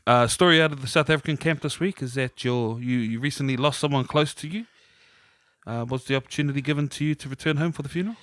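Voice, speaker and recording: Mid-pitched New man chatting casually. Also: quick pace, fairly bright tone, no echo. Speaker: podcast co-host. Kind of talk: casual sports chat.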